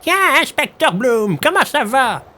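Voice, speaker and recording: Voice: medium pitch, big pitch swings, unhurried, pushed and bright, a little hiss or hum. An actor playing a chatty, rambling and senile but cheerful old village woman in an audio drama. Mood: chatty, folksy. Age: older.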